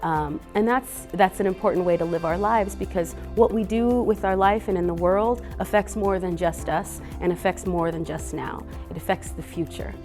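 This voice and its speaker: A woman's voice: on the low side, normal speed, hardly any pauses, a little mellow, faint music behind. A woman speaking earnestly and unhurriedly to children as a guest reader, with a few fillers. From Boise, Idaho. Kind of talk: gentle talk to kids.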